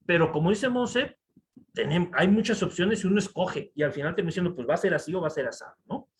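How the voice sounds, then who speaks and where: Lively high-voiced Mexican man lecturing. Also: fast talker. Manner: animated, talks to the audience with rhetorical asides. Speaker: conference speaker. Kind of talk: lecture with slides. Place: conference hall.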